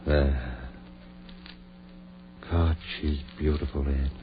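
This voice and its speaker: Obsessed mad surgeon, real deep voice, cold clipped orders, muffled old radio horror play. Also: male voice, fairly even intonation, heavily acted. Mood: tender, obsessed. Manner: clipped orders, intense, deliberate. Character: obsessed male surgeon, cold, commanding, reviving his dead beloved. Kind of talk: old-time American radio drama acting. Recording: muffled, noisy old radio recording with hum.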